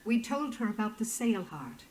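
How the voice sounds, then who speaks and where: An actress playing a calculating head matron in a video game, speaking with cool, formal deliberation. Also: female voice, medium pitch, big pitch swings, moderate pace, roomy sound, a little hiss or hum, close to an everyday voice, low-quality recording. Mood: courteous. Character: poised, calculating female head matron of a powerful order. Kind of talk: video game dramatic dialogue.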